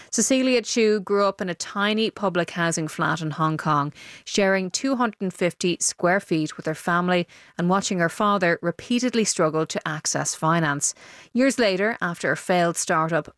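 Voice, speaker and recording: A woman presenter with a fairly low voice, recorded dry and close. She reads introductions and asks questions in the manner of a radio business interviewer. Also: no room sound.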